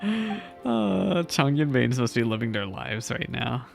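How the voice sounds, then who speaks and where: Girl streamer w really low creaky voice, chatty and reactive, game music quietly behind. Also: sing-song, slow pace. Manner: giggly, reactive. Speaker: gaming streamer. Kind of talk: casual reaction commentary over gameplay.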